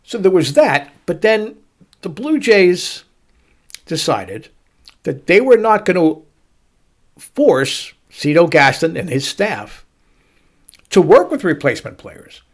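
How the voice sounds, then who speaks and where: A middle-aged man hosting a baseball show, telling a story to listeners with emphatic, dramatic pauses and stretched-out words. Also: high-pitched, big pitch swings. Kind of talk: storytelling sports commentary to listeners.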